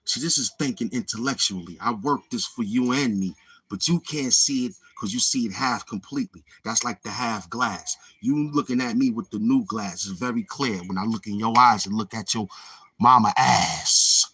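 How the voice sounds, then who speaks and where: A man's voice: mid-range voice, moderate pace, sharp tone, slightly rough. A man hosting his own channel in a boastful, taunting livestream monologue, swaggering and repeating himself. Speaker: YouTube channel host.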